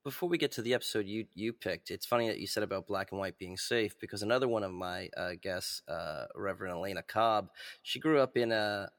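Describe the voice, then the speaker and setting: A man's voice: lowish voice, brisk, a bit bright, big-room sound. A man from New York hosting an interview, who asks chatty questions peppered with filler words. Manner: chatty, drops in filler likes. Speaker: podcast host. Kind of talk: casual interview chat.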